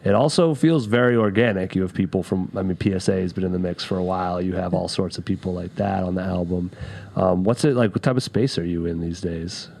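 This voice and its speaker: A man with a very deep voice hosts a podcast conversation. He asks long, curious questions in a loose, easygoing way. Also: fairly quick, vocal fry, a little mellow. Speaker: podcast host. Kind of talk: casual interview questions.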